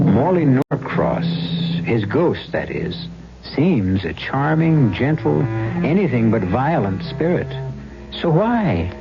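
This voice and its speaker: Theatrical male radio host, very animated. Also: medium pitch. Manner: theatrical, deliberate, suspenseful pauses. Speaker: host narrator of a radio drama. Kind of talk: dramatic scripted narration, 1970s radio. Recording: muffled old radio broadcast with music.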